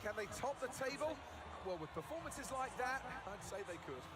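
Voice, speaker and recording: High-pitched male race commentator. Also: big pitch swings, normal speed. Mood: upbeat, impressed. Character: upbeat, polished male motorsport TV commentator. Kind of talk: sports broadcast commentary in a game.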